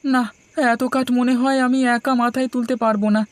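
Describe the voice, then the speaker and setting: A woman's voice: on the high side, fairly even intonation, moderate pace, no room sound, close to an everyday voice. A woman voicing meek young Kanu. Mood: worried. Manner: polite, submissive. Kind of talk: cartoon dubbing.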